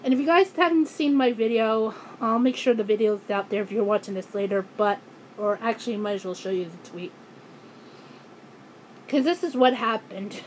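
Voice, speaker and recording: A woman ranting angrily in a vlog, hoarse and losing her voice, often breaking off and restarting her sentences. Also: a little high, moderate pace, a little hiss or hum, low-quality recording. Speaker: YouTuber.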